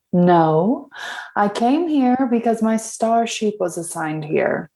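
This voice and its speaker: A woman's voice: fairly high pitch, lively intonation, slow pace, dark tone. A woman acting a teasing, guarded space officer with a dry manner in an audio drama.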